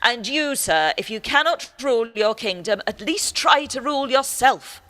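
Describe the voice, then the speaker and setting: Woman playing haughty archbishop, bright high voice. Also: lively intonation, moderate pace. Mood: exasperated. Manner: haughty, lecturing. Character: stern, pious, superior archbishop, scolding and dignified. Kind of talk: radio play acting. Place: actor's home, recorded remotely.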